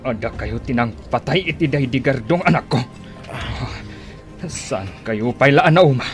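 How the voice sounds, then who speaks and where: An older father played by a man is consumed by vengeance and grief. He speaks mid-pitched, Ilocano-accented radio drama dialogue over faint music. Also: lively intonation, normal speed, natural voice. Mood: furious, grieving. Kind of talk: Filipino radio drama acting.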